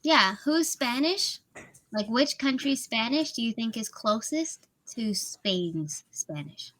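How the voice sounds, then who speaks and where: A woman's voice: fairly high pitch, expressive, takes it slowly, fairly bright tone, poor audio. A woman tutoring over a video call in a casual, chatty way, laughing often and filling with "like" and "you know". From Miami, USA. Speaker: English tutor.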